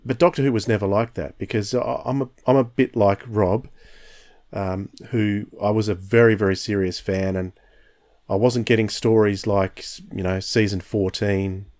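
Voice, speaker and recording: Low-voiced man musing on a podcast. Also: fairly even intonation, moderate pace, a little mellow. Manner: enthusiastic, reflective, frequent restarts. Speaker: podcast host. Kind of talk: casual podcast discussion.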